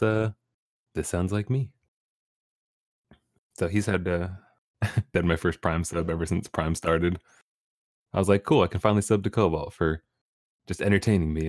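A man's voice: low-pitched, darkish tone, no echo, studio quality. A young man, a streamer guest on a podcast, talking casually with easy laughs and rambling restarts. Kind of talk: casual interview chat.

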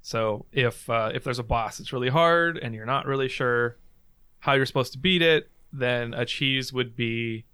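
Laid-back guy on a podcast, slow explaining, dry close mic. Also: mid-range voice, pushed and bright, clear, resonant tone, no echo. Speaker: podcast co-host. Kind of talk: casual podcast chat.

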